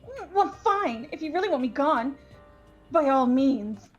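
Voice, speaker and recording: Woman acting high-pitched, moody, slow-talking anime game girl. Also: lively intonation, muffled recording, soft background music. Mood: brash, menacing. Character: timid, stammering, devoted young woman with a brash sadistic other self. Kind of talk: amateur voice acting of a visual novel game.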